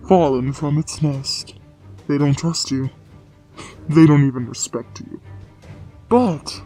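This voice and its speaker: A man voicing a proud, aloof dark warrior in a sci-fi comic dub, sardonic and sneering in his delivery. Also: a little high, lightly acted. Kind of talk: dramatic voice acting in a comic dub.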